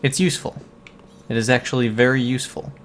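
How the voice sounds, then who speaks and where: A man commentating his own game playthrough, relaxed and chatty, a bit hoarse from losing his voice. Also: medium pitch, fast, a little hiss or hum. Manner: relaxed, chatty, talks to viewers. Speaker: gaming commentator. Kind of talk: let's play commentary.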